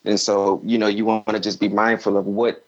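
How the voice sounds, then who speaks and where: Dull, lo-fi audio of a man with a fairly low voice. He is a filmmaker guest answering casually, giving advice in a halting way and often doubling back on his words. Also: level tone.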